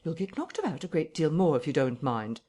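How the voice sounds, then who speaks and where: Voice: mid-range voice, sing-song, brisk. Reader doing a curt, menacing unseen man. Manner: threatening, curt, quiet emphasis.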